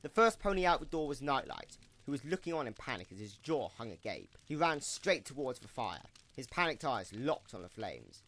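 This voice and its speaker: A man's voice: mid-range voice, very animated, normal speed, hardly any pauses, fairly bright tone. A man narrating a scripted audio drama in a dramatic storytelling style.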